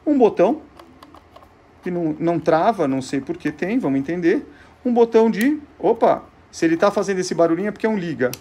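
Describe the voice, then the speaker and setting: A man's voice: sits high, lively intonation, fairly quick, mellow. A man with a Brazilian Portuguese accent presenting in an informal, didactic way, often asking himself questions and then answering them. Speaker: presenter of a show on vintage gadgets. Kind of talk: informal explanatory demonstration.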